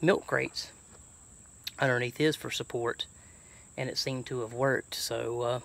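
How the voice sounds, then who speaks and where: A man's voice: medium pitch, fairly even intonation, measured pace, creaky, fairly bright tone. A middle-aged man with a Southern American accent, chatting casually and folksily as he thinks aloud through a DIY job for his vlog. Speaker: truck camping vlogger. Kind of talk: casual DIY chat to camera. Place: outdoors and inside a truck bed by an open garage. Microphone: handheld phone at arm's length.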